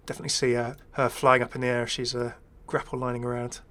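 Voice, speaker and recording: A man's voice: mid-range voice, flat delivery, quick pace, fairly bright tone. A man giving casual, rambling first-impressions commentary as a gaming video creator, chatty, enthusiastic and self-deprecating.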